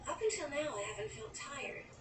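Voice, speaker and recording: A woman's voice: sits high, very animated, fairly quick. An actress playing a wistful, dreamy young woman who tells her own story in an intimate, girlish way in an audio drama. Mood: reflective narration. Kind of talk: audio drama acting with first-person narration. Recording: roomy, distant, noisy copy of audio drama.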